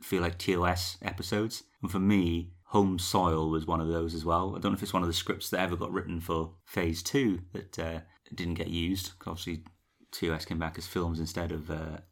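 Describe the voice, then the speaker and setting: A man's voice: sits low, flat delivery, brisk. A young man co-hosting a podcast, recapping a TV episode in a chatty, rambling way. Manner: chatty, rambling with fillers and laughs. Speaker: podcast co-host. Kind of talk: casual chat recapping a TV episode.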